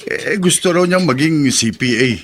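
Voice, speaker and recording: A man's voice: fairly high pitch, animated, moderate pace, a bit bright, a little hiss or hum. A man playing a hardworking, earnest middle-aged father and mechanic in a radio drama. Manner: earnest, good-natured.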